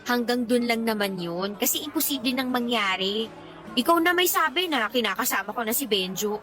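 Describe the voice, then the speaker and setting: Radio drama role: dissatisfied young mother, higher voice, frank and defensive, faint music underneath. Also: expressive, moderate pace, fairly bright tone. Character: dissatisfied young mother crushing on partner's brother, defensive, candid. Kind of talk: Tagalog radio drama dialogue.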